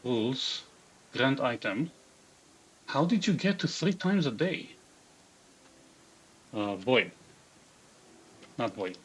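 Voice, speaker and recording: A man's voice: mid-range voice, very animated, slow pace, pauses often, breathy edge. A man from Israel with an Israeli accent, streaming live, reading chat aloud and muttering half-sentences to himself as he builds a game character. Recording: dull lo-fi stream audio.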